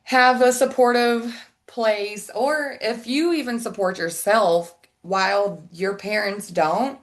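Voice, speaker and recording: A woman's voice: mid-range voice, slow pace. A woman hosting a casual interview podcast, chatty and effusive, rambling through her thoughts over a video call. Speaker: podcast host.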